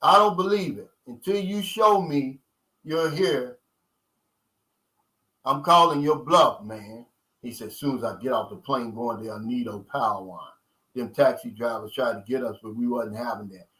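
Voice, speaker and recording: A man's voice: medium pitch, moderate pace, sharp tone, rough recording. A man in his fifties hosting a live stream, reading viewers' comments and answering them in loose, streetwise talk. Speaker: vlogger reading live chat. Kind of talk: casual live-stream chat.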